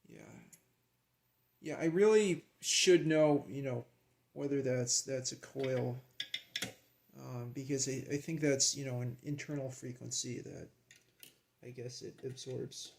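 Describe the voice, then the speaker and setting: A man with a creaky voice talking casually and haltingly, half to himself, as he narrates a hands-on hobby task. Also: mid-range voice, darkish tone, slight background noise. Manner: halting, muttering to himself while handling parts. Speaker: hobbyist presenter. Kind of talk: casual hands-on vlog narration while working.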